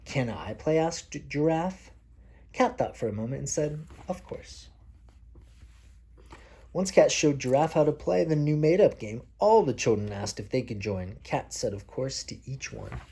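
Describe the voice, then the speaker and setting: A man's voice: medium pitch, expressive, a little slow, vocal fry, mellow, breathy edge. A man reading a picture book aloud to a child as a father, explaining things simply along the way. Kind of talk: picture book read-aloud with casual asides. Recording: faint background noise.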